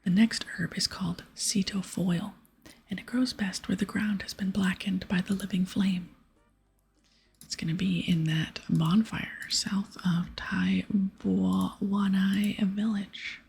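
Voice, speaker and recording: A woman speaking in a soft, breathy whisper close to the mic, giving gentle, casual ASMR gameplay commentary. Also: medium pitch, little pitch movement, takes it slowly, faint music behind. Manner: gentle, hushed, casual rambling. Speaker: ASMR gaming video creator. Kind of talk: ASMR whispered gameplay commentary with quest dialogue read aloud.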